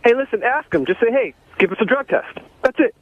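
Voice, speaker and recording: A man's voice: very high-pitched, animated, a little fast, dry recording. A man calling in to a radio show on a dull phone line, blunt, earnest and deadly serious. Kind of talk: live call-in.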